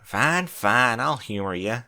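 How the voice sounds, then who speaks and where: A man's voice: mid-range voice, animated, slow pace, vocal fry, bright, forceful tone, full character voice. A man voicing a folksy, skeptical female farm pony with a Southern US drawl in a cartoon-style story reading. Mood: grudging. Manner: drawling country talk, plain-spoken.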